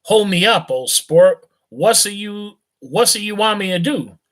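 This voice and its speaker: A man's voice: high voice, lively intonation, unhurried, a bit bright, voice pushed up. A man voicing a drunk, rich young man of about eighteen, slurring and rambling in a thick voice. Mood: drunken rambling. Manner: slurring and hiccuping, lurching tipsy chatter.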